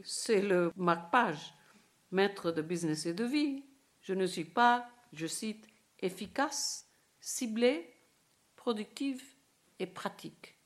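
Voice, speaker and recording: A woman's voice: mid-range voice, fairly bright tone. Older woman lecturing formally. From India. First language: Bengali. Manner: dense formal reading broken by hesitant asides in English. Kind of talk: academic lecture read from a prepared text.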